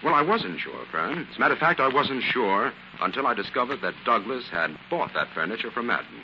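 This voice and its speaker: An actor playing an assured, authoritative detective explaining a case in a 1940s radio drama. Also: male voice, mid-range voice, big pitch swings, a little fast, close to an everyday voice. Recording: dull, hissy 1940s radio recording.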